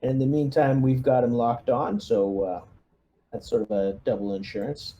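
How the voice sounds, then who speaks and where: A man playing a tabletop game as squad leader, talking casually and haltingly, often clearing his throat. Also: medium pitch, warm tone, lo-fi sound. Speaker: player leading the squad.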